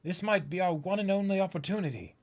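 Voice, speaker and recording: A man voicing an eager skeleton musician pirate in an animated, earnest manner for a comic book reading. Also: high-pitched, quick pace, pitched above the performer's own voice, close to an everyday voice. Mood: earnest, urgent. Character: eager, high-voiced adult skeleton musician pirate planning a daring mission.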